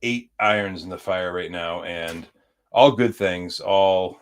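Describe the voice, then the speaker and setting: A man's voice: deep voice, slow, clean tone. A man from the United States chatting as a podcast guest, easygoing and rambling as he tells stories. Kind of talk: casual chat.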